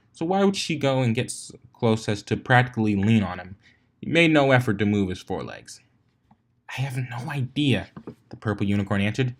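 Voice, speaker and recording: A man narrating a story expressively, occasionally stumbling over a word and correcting himself. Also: lowish voice. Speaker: fan fiction reader. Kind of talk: narrated story reading with character voices.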